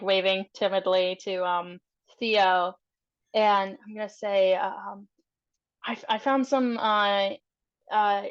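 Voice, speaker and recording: A woman on slightly muffled, lo-fi audio plays a tabletop roleplaying game. Her pitch is average, and she improvises casually, tentatively trailing off as she describes what her character does. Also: clear, resonant tone.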